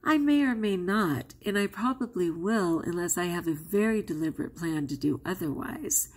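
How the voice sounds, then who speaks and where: A woman's voice: fairly low pitch, animated, normal speed, breathy edge. A woman, not a native English speaker, talking candidly to her camera in a chatty vlog. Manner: candid and chatty, with frequent laughs. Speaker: vlogger. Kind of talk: casual vlog talk to camera.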